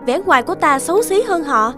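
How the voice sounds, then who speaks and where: A woman voicing a proud, misunderstood young dark fairy in a cartoon, speaking in a haughty, dramatic and emphatic way. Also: high-pitched, very animated, fast. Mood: haughty, cutting. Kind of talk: animated fairy tale dubbing. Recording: music behind the voice.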